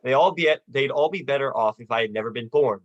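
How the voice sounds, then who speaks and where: A young performer playing a despairing, sardonic family man in a radio play, with halting, dry delivery. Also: mid-range voice, close to an everyday voice. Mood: bitter despair. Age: teens or young adult. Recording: dull, lo-fi video call audio.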